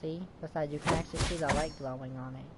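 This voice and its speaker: A woman's voice: sits low, steady tone, fast talker, heavy background noise. A woman giving casual gameplay commentary as a streamer, rambling and thinking aloud. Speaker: gaming streamer. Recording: loud game music behind. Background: game music.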